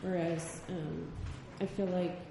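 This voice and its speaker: A soft-spoken woman photojournalist answering a panel question, hesitant and full of ums. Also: lowish voice, flat delivery, slow, vocal fry. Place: gallery event space. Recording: echoey room, far mic, background noise.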